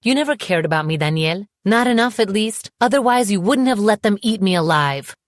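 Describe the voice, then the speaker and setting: A woman's voice: on the low side, expressive, normal speed, no echo, clean studio sound, lightly acted. Woman acting wounded, indignant young woman, emotionally charged. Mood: bitter, furious.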